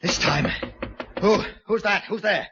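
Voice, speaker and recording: An actor playing an obsessed, murderous sculptor who believes himself Death, in theatrical 1940s radio style. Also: male voice, sits high, a little slow. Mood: startled. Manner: theatrical, grandiose, self-questioning monologue. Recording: muffled, noisy old 1940s radio recording.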